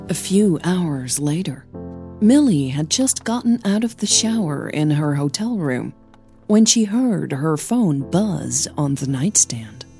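A woman's voice: sits low, big pitch swings, slow pace, dark tone, soft background music. A woman narrating a scripted piece of fiction as a dramatic storyteller.

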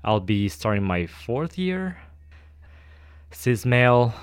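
A young man from Ecuador, giving thoughtful, hesitant interview answers full of pauses and restarts. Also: medium pitch, very animated, clear, resonant tone, no room sound, studio quality. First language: Spanish. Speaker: guest, doctoral student.

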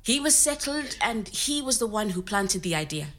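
A woman's voice: medium pitch, very animated, moderate pace, a bit bright. An actress playing a resourceful, candid woman recounting her working life in a conversational storytelling style. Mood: matter-of-fact. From Zimbabwe. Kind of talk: scripted oral-history storytelling in conversational manner.

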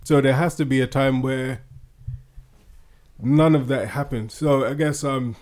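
Man, podcast co-host, casual chat, mid-pitched, hesitant, rambling, lots of restarts. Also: steady tone, a little slow, darkish tone. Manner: laughs often.

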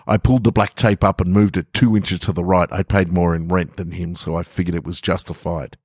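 A man's voice: low-pitched, level tone, a little fast, a little mellow, breathy edge, dry recording, studio quality. An Australian man hosting and reading short stories on a podcast, his voice affected by a lingering head cold. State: getting over a head cold. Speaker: podcast host and story reader. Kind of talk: scripted story narration with casual introduction.

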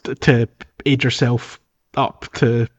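Halting male podcast host, slightly dull lo-fi sound. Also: mid-range voice, flat delivery. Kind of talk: casual video game discussion.

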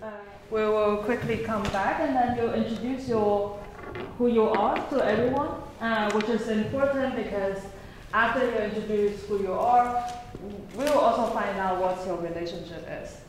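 A woman's voice: medium pitch, normal speed. A woman leading a workshop game and explaining its rules to participants in a friendly, instructive way. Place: large echoey museum room. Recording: very echoey room with background noise.

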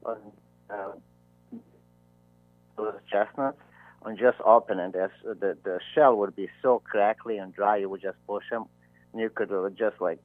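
A man with a Bosnian accent speaks haltingly as an interview guest over a phone line, searching for words. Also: fairly low pitch, no room sound. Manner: halting, searching for words in non-native English. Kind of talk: conversational interview answers. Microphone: telephone. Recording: narrow, tinny phone line.

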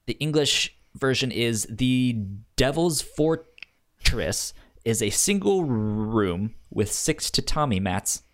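A man co-hosting a podcast in casual conversation, halting and given to chuckling as he goes through his notes. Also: medium pitch, animated. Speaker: podcast co-host.

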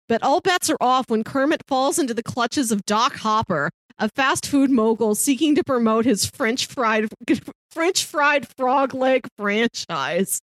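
A woman co-hosting a podcast, playfully pitching and chatting about films, chuckling as she talks. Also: no echo. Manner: chuckles mid-sentence, playful. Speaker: podcast co-host. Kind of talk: casual film podcast chat, pitching films and reading blurbs.

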